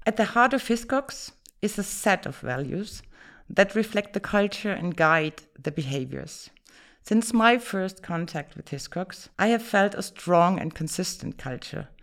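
A woman whose first language is German, answering podcast interview questions in English in a measured, businesslike way with frequent fillers. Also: mid-range voice, lively intonation. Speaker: insurance executive guest.

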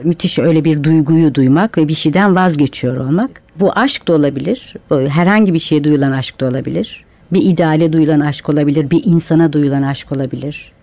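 A woman's voice: low-pitched, fairly quick, few pauses, warm tone. A woman author as a radio guest, speaking thoughtfully and retelling old myths at length. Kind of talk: conversational interview. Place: radio studio.

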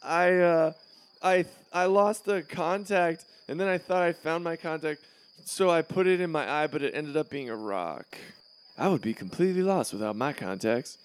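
A man's voice: a little high, normal speed, smooth, close to an everyday voice. A man playing a pampered, bratty male student in a comedy series, petulant and full of bluster. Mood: whiny, defensive.

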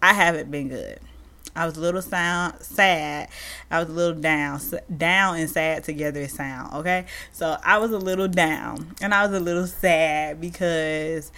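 A woman's voice: low voice, moderate pace. A thirty-year-old woman hosting her own podcast, talking casually and with animation straight to her listeners. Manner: animated and chatty, addresses listeners as y'all. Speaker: podcast host. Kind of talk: casual solo podcast chat.

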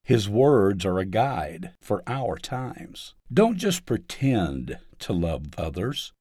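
A man reading a scripted devotional article aloud in a measured, earnest way, with emphatic phrasing. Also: fairly low pitch, mellow, dry recording, clean studio sound. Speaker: article narrator.

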